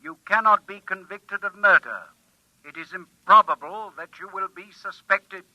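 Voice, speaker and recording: Piercingly bright, impassive machine voice. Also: male voice, high voice, moderate pace, no echo, poor audio. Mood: impassive, formal. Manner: stilted, formal, emotionless announcing. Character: impassive talking computer service machine, coolly helpful. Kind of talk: old-time radio drama acting. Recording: dry vintage radio drama sound.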